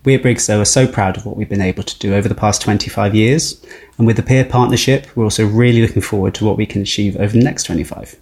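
A man's voice: fairly low pitch, fairly even intonation, fast talker, darkish tone. A man delivering a scripted promotional announcement as a spokesperson for a charity.

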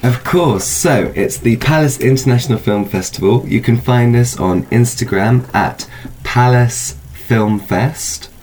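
A man's voice: medium pitch, measured pace, darkish tone, slight background noise, poor audio. A man speaking as a festival guest, explaining an event informally in hesitant, thoughtful phrases. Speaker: film festival spokesperson, guest.

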